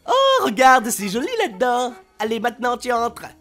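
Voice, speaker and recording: A man's voice: very high-pitched, huge pitch swings, normal speed, bright, forceful tone, soft background music, voice pushed up, heavily acted. A man voicing a small, fussy, sarcastic cartoon sidekick creature with a chattery, exasperated manner. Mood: impatient, chatty.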